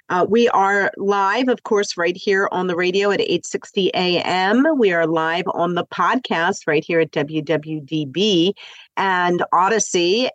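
A woman's voice: medium pitch, no echo, muffled recording. A woman hosting a live radio show, sounding stuffy from the flu, talking in an upbeat, chatty way. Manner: bubbly, upbeat, chatty with fillers. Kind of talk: chatty live radio talk on home improvement. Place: radio studio.

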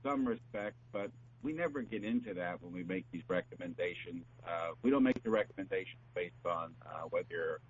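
A man talking as a doctor giving health advice, explaining in a halting, repetitive, conversational way. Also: deep voice, husky. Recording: dull, noisy line with hum.

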